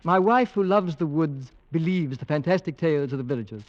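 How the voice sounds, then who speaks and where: A man playing a brisk, skeptical English gentleman with an English accent, clipped and debonair, in an old radio drama. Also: a little high, animated, lo-fi sound, close to an everyday voice. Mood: scoffing. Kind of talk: 1940s-50s radio drama acting.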